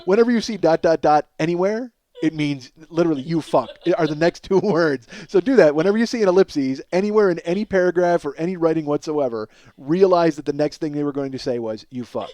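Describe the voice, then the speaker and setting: Higher-voiced man, profane comic rambler. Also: brisk, hardly any pauses, warm tone, dry recording. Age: 40s. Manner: profane, self-deprecating, emphatic. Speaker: comedy podcast host. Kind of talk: rambling comic monologue, casual storytelling.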